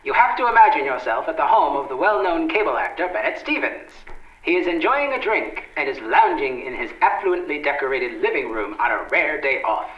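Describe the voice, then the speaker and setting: A man's voice: a little high, big pitch swings, a little fast, highs cut off. A man playing a dramatic old-time radio announcer narrating a story, in echoey vintage sound. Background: loud music.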